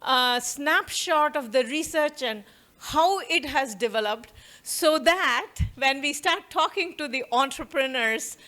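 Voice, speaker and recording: Quick, bright-voiced Indian woman lecturing. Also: high voice, expressive. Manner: quick, warm, laughs often, conversational. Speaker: professor giving a talk. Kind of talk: conference talk to an audience. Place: university auditorium.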